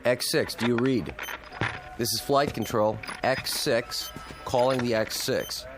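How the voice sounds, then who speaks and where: An actor playing a respectful, eager flight control technician in a radio drama, speaking in crisp military call-outs. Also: male voice, mid-range voice, takes it slowly, over music, natural voice, poor audio. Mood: businesslike.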